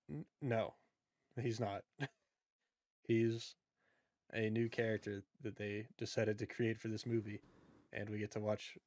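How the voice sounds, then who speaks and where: A man speaks as a podcast co-host in a close, dry recording. His pitch is average for a male voice, and he talks in a blunt, informal, conversational style. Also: no room sound. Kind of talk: casual chat about movies.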